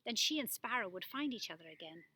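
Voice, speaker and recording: A woman giving an expressive, animated reading of a children's story. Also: mid-range voice, quick pace, a little hiss or hum, poor audio. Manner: animated delivery with acted-out dialogue. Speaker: storybook reader.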